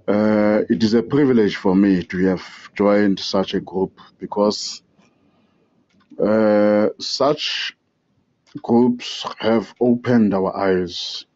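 South African man caller, deep mellow voice, very slow, lots of uh pauses, muffled line. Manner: slow and halting, many "uh" pauses, earnest. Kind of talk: phone voicemail.

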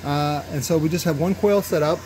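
A man giving casual, unscripted technical commentary as he demonstrates tests, halting and thinking aloud in an opinionated way. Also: on the high side. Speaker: host demonstrating speaker tests. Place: indoor workshop at a cluttered bench. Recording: noisy workshop with background hum.

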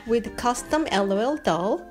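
A woman's voice: on the high side, expressive, a little slow, over music. A woman presenting a children's read-aloud video in a scripted host style.

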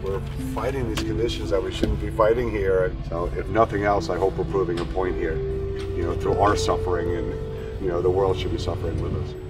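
American man, ice carver, low-pitched, easygoing interview talk, music behind. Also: a little fast. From Connecticut, United States. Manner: relaxed, thoughtful, with many fillers. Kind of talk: documentary interview. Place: outdoors in the Arctic, on a ship deck.

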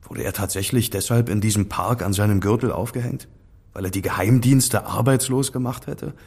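An actor playing a sceptical amateur investigator in an audio drama, asking direct, slightly flippant questions. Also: male voice, fairly low pitch, moderate pace, vocal fry, a little mellow, slightly rough. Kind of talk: German audio drama dialogue acting.

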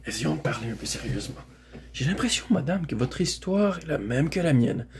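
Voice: mid-range voice, very animated, brisk, a crowd in the background. A storybook reader voicing a proud, gallant male talking horse in an emphatic, formal manner. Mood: courteous, indignant.

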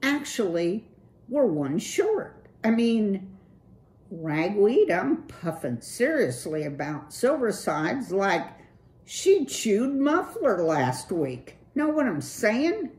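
A woman's voice: mid-range voice, very animated, takes it slowly, darkish tone, voice pushed up. A woman voicing a cool, slang-talking young male city mouse with a laid-back, breezy drawl. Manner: laid-back surfer slang, keeps saying "dude".

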